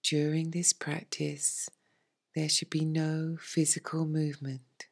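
A woman leading a guided meditation as a teacher, in a soft, breathy, hushed and soothing voice with long pauses. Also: low-pitched, completely flat delivery. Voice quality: soft, breathy, near-whispered. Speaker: yoga nidra meditation teacher.

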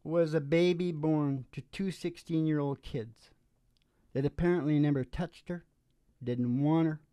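A man's voice: a little high, steady tone, unhurried, dark tone, clean tone, no echo. A middle-aged man chatting casually and emphatically, repeating phrases and checking in with "you know.". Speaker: host and singer-guitarist of a music podcast. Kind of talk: rambling casual chat. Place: small curtained indoor stage. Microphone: stand microphone, close. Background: acoustic guitar.

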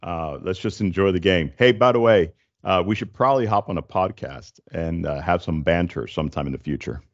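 A man in his forties hosting a casual tech explainer, speaking in a halting, stop-and-start way into a close desk microphone. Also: low-pitched, muffled recording. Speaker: tech show host. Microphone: desk condenser microphone, close, with headset.

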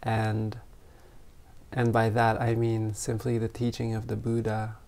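A meditation teacher of unclear sex guiding a group in gentle, spontaneous talk, unhurried, with long pauses between short phrases. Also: medium pitch, completely flat delivery, gravelly. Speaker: meditation teacher leading an online retreat.

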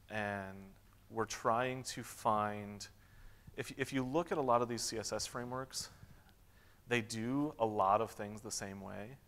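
A man in his thirties answering audience questions at a tech conference, relaxed, with lots of "um"s and restarts. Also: fairly low pitch. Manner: relaxed, many fillers and restarts. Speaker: conference keynote speaker. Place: large conference hall stage. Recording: long hall reverb.

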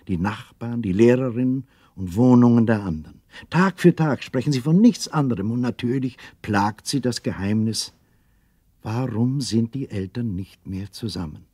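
A man narrating a children's radio play as an expressive storyteller with scripted delivery. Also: lowish voice, breathy edge, no echo, studio quality.

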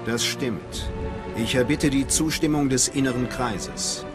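A man's voice: mid-range voice, expressive, a little slow, over music, lightly acted. A man playing a cool, ambitious young Sith acolyte in a video game, terse and self-assured. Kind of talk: video game cutscene acting.

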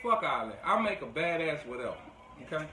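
A man's voice: a little high, very animated, fairly quick, pushed and bright, recorded from a distance. A man trading casual, joking banter as a co-host, brash, slangy and profane. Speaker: cooking show co-host. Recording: echoey room with clear background noise.

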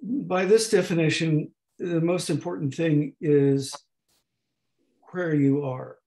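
A man in his eighties lecturing slowly over a video call, with a hoarse, gravelly voice that is not fully distinct and that often pauses and restarts. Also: on the high side, a little mellow, rough recording. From United States. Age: 80s. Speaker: professor emeritus giving a talk. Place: home room at a desk. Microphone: webcam on a video call.

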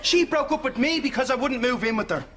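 Exasperated, high-pitched son. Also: male voice, lively intonation, quick pace. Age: 30s. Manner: exasperated, insistent. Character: exasperated grown son, aged thirty-three. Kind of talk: sitcom acting. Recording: noisy studio recording.

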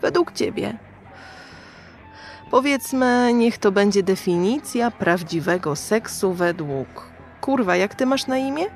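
An actress playing a brash, crude, streetwise prostitute in a dystopian audio drama, mocking and slangy. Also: female voice, mid-range voice, expressive, a little slow, faint music behind. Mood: mocking, incredulous. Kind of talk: radio drama acting.

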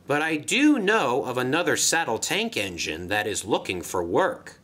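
A man's voice: mid-range voice, sing-song, normal speed, fairly bright tone. A man voicing a polite, easygoing male tank engine who speaks in a courteous, dry way.

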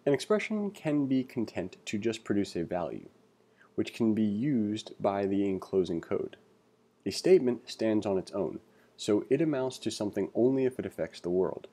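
A man giving a read-along coding tutorial, reading aloud and thinking out loud with informal asides. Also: on the low side, big pitch swings, normal speed. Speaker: instructor.

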